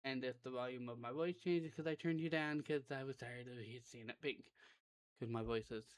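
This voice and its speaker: A man with a raspy voice reviewing wrestling matches in a rambling, conversational way, often correcting himself mid-sentence. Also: mid-range voice, normal speed, no echo. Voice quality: raspy right now. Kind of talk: casual solo show review.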